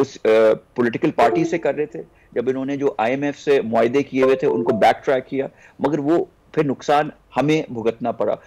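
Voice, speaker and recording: A man's voice: mid-range voice, warm tone, rough recording. Pakistani man explaining politics. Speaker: political guest on a news talk show. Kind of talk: political discussion, explaining policy.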